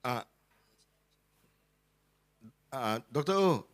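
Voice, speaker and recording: A man's voice: medium pitch, animated, normal speed. A man moderating remotely, putting brief, direct questions to the surgeons. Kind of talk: questioning over a video link.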